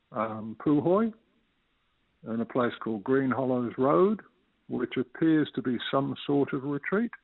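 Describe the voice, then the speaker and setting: Gravelly older Englishman on a phone line. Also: mid-range voice, no room sound. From United Kingdom. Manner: steady, explanatory, hesitant fillers. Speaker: guest, local resident. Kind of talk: phone interview.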